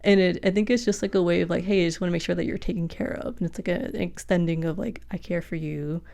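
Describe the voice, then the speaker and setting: Young guest chef, first language Vietnamese, low-pitched, loose rambling chat. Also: female voice, steady tone, fast talker, vocal fry, darkish tone. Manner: chatty, many fillers, laughs often. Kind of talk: casual podcast conversation.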